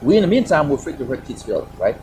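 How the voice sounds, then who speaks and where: A man's voice: medium pitch, very animated, rapid-fire, darkish tone, slight background noise, low-quality recording. A man with a Haitian accent, speaking as a panelist on a video call, passionate and emphatic with rhetorical questions. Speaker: panelist, Air Force operations director. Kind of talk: virtual panel discussion.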